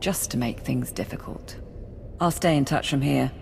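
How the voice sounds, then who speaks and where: A woman playing a brisk, dry-witted Imperial military aide in a video game, businesslike and wry. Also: a bass voice, gravelly, a little mellow, a little hiss or hum. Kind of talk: video game briefing dialogue. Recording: game music behind the voice.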